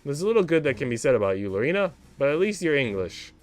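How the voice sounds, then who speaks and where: A man's voice: medium pitch, very animated, quick pace, a little mellow, close to an everyday voice. A man voicing a haughty, condescending English maid, a prim woman with a cruel streak, in a visual novel.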